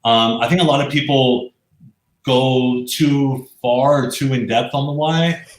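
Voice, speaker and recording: A man's voice: medium pitch, steady tone, unhurried. A man being interviewed, explaining his work in a casual way, often circling back to rephrase. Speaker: interview guest, explainer video professional. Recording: roomy, echoey sound.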